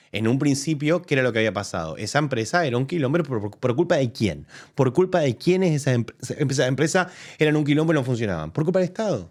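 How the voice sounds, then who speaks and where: A man with an Argentine accent hosting a live show, arguing his points in casual commentary with frequent restarts. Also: medium pitch. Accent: Argentine Spanish. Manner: animated, argumentative, thinks aloud with restarts. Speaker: political commentator host. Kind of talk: casual commentary reacting to a press conference.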